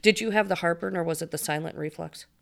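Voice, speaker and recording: A woman's voice: fairly low pitch, fast, vocal fry. A woman interviewing a guest in a chatty way, bringing in her own personal asides. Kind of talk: casual interview chat.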